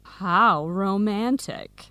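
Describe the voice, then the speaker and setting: An actress with an American accent playing a sassy, protective best friend from Philadelphia in a radio drama, with an emphatic delivery. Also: female voice, mid-range voice, drawn-out words, pushed and bright, smooth, no room sound. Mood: teasing. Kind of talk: scripted radio drama dialogue for English learners.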